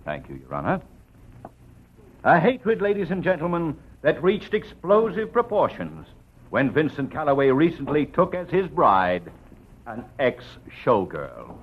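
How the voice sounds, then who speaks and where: An actor playing an aggressive, eloquent prosecutor in an old radio drama, crisp and oratorical with dramatic pauses. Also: male voice, a little high, huge pitch swings, full character voice. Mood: accusing, scornful. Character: aggressive, eloquent male assistant district attorney in court. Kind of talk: old radio drama acting, courtroom speech. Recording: dull vintage radio drama recording.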